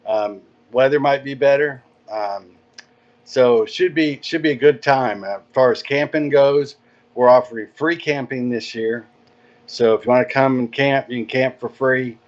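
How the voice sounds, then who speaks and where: A man's voice: mid-range voice, normal speed. Easygoing man on dull lo-fi audio. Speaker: podcast guest, marina director. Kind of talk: casual interview chat.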